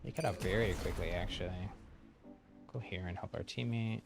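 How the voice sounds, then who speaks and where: A man's voice: low-pitched, normal speed, vocal fry. A man giving casual, easygoing live commentary on his own gameplay, talking to himself as he plays. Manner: easygoing, talks to himself while playing. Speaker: game streamer. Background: video game music.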